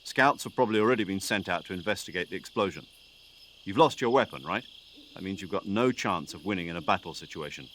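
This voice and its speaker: A man's voice: deep voice, lively intonation, fast talker, a bit bright. A man playing an authoritative military commander in video game radio dialogue, firm, articulate and earnest. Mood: commanding. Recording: faint night insect noise behind.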